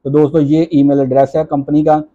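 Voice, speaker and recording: A man walks viewers step by step through an online job application, reading the on-screen items slowly. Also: a little high, flat delivery, phone-quality sound. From Pakistan. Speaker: job-application tutorial host. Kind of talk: screen-recorded walkthrough. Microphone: voice-over over screen recording.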